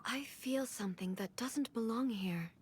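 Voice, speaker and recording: A woman playing a dignified, resolute shogun ruler in a video game, speaking formally and with measured authority. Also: on the high side, slight background noise, close to an everyday voice. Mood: solemn, resolute. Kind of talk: video game character acting. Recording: game dialogue over clear music.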